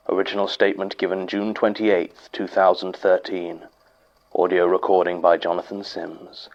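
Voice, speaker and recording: Low, creaky British archivist. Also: male voice, dull audio. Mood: formal, grave. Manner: measured, deliberate, formal. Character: sober head archivist reading a theatre technician's eerie testimony. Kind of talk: horror audio drama, reading a recorded statement.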